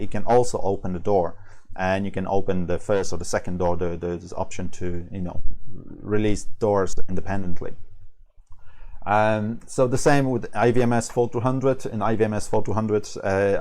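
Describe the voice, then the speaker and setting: A man with a low voice talks through a slide presentation as a product trainer. English is not his first language. His delivery is informal and halting, and he often repeats words and rewords what he has said. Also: darkish tone. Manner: halting, repeats and rephrases himself.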